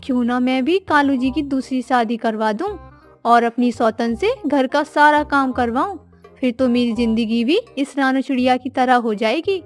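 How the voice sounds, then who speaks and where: A discontented crow wife from a children's cartoon, acted by a woman, speaks in a high voice. She is dramatic and scheming, with a complaining way of putting things. Soft music plays behind her. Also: moderate pace, close to an everyday voice. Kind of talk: children's animated story acting.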